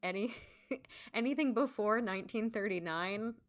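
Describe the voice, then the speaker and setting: A woman's voice: mid-range voice, normal speed, crowd noise. Casual woman podcast guest, mid-range voice. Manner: informal, conversational. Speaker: podcast guest, stage performer. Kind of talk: casual chat.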